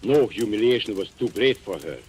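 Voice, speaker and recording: A man's voice: fairly low pitch, animated, fairly quick, darkish tone, close to an everyday voice, poor audio. A man playing a suave, menacing antique dealer and blackmailer in an old radio mystery, polite, smug and theatrical. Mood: menacing, taunting. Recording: old radio recording with background hiss.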